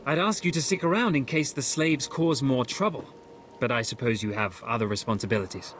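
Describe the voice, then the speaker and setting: An actor playing a formal junior military officer in a video game, deferential to superiors and disdainful of inferiors. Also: male voice, mid-range voice, a little fast, a bit bright, slight background noise, close to an everyday voice. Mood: polite, dry. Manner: polite, formal, obsequious. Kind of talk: video game dialogue acting.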